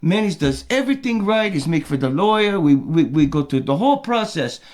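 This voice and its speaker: A man doing a worked-up middle-aged immigrant with a heavy put-on Portuguese accent, riding a high-pitched voice. He rants in broken English and keeps calling himself by his own name, like a comic character monologue. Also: sing-song, fairly quick. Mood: boastful. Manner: broken English, talks of himself in third person.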